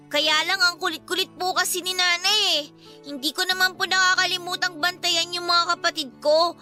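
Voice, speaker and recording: Young girl, very high piercing bright voice, whiny yet respectful, Filipino radio drama. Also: exaggerated voice. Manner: complaining yet polite to elders. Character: whiny dutiful young eldest daughter, child. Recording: music bed behind the voice.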